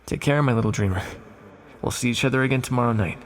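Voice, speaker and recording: A man playing an ancient accursed living weapon in an ASMR roleplay, a calm, formal villain who is menacing yet tender and confides in hesitant, measured phrases. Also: medium pitch, natural voice. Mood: wry, fond.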